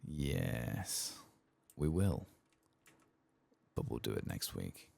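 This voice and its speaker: A man speaking softly in a husky, gravelly voice, giving quiet, unhurried commentary as he streams a game playthrough. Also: rumbling low, big pitch swings, a little hiss or hum. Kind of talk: soft-spoken ASMR game playthrough commentary.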